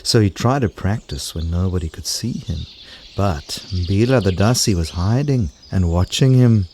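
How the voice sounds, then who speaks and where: An actor playing the narrator of a folktale in scripted audio theatre for children. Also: male voice, sits low, normal speed, warm tone, a little hiss or hum. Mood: confiding. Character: lively male folktale storyteller.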